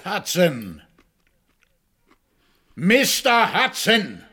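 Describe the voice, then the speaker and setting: Older gentleman father, bright strained voice, animated pitch, placating, polite, radio drama. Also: drawn-out words, husky, heavily acted. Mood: strained politeness.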